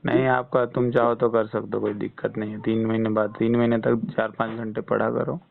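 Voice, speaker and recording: A man's voice: on the low side, little pitch movement, fairly quick, gravelly, a little hiss or hum. A man giving casual, reassuring health advice as a counsellor on a phone consultation. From West Bengal, India. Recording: muffled, band-limited phone call recording.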